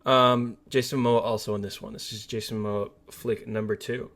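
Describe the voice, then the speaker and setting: A man's voice: lowish voice, flat delivery, a little fast, a bit bright. A man co-hosting a casual film talk podcast, speaking bluntly and with plenty of slang. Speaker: podcast co-host. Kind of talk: casual film discussion chat.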